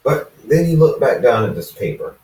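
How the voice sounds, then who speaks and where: A man reading fan fiction aloud as an amateur narrator, halting and often going back to repeat phrases. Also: mid-range voice, big pitch swings, a little mellow. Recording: roomy echo, distant from the mic.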